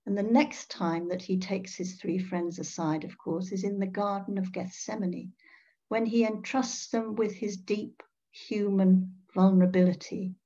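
Slow-paced older woman preaching. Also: medium pitch, fairly even intonation, warm tone. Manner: measured, with pauses and rhetorical questions. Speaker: priest preaching. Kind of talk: reflective sermon. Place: indoor room at home. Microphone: computer mic on a video call. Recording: dull, muffled video-call audio.

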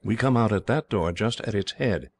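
A man's voice: on the low side, animated, a little mellow, slightly rough, voice pushed up. A man putting on a timid young girl's voice. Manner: timid, breathless, halting. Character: frightened young English girl raised as a slave.

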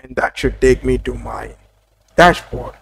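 A man presenting a screen-recorded software tutorial, talking casually with many fillers and small apologies. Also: mid-range voice, unhurried, creaky, slight background noise.

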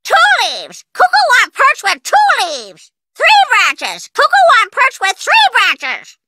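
Game parrot: very high, piercing, wildly swooping, cheeky squawking mimic. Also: huge pitch swings, slow, noisy background, full character voice. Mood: squawking, excited. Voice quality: squawky, shrill. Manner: mimicking, exclaiming in short repeated phrases. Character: loud squawking pet parrot mimicking people, cheeky and bossy. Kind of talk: video game character acting.

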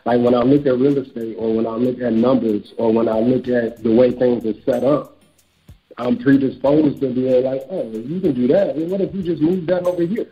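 A man in his thirties talks candidly about himself in a relaxed interview, his voice sitting at an ordinary middle pitch. The audio is dull and lo-fi, with faint music playing underneath. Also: normal speed. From Chicago, USA. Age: 30s. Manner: candid, reflective, speeds up when quoting himself. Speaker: author and real estate broker. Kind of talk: casual interview conversation.

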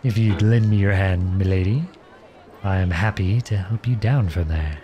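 Male actor as gallant outlawed prince, ASMR roleplay, low voice, playful, tender, courtly, outdoor ambience behind. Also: normal speed, dark tone, a little hiss or hum, close to an everyday voice. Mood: warm, attentive. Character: charming, gentle, teasing outlawed young prince. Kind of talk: ASMR roleplay acting addressed to the listener.

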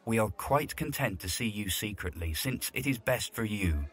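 A man's voice: on the low side, expressive, normal speed, fairly bright tone. An actor playing a middle-aged, fatherly but irritable butler in a Victorian audio drama, with formal, precise phrasing. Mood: fond, earnest. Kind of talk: period audio drama acting.

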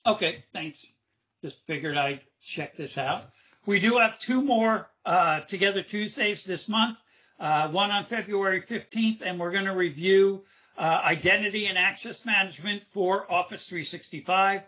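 A man presenting a casual screen-share demo on a video call, chatty and loose with his fillers, with a slightly hoarse voice. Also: sits high, normal speed, pushed and bright. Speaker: webinar presenter.

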